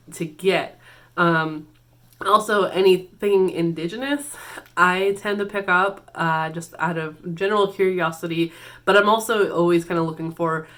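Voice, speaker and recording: A woman's voice: on the low side, fairly bright tone. Congested, nasal woman chatting about books. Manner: chatty and hesitant, many fillers and restarts. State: congested from a cold, a little nasal. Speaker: BookTube channel host. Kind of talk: casual book-tag vlog.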